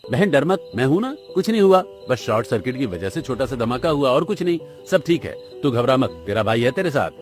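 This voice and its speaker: A man's voice: medium pitch, big pitch swings, a little fast, few pauses, soft background music, natural voice. A man voicing a caring, protective young brother in a cartoon story, reassuring and affectionate.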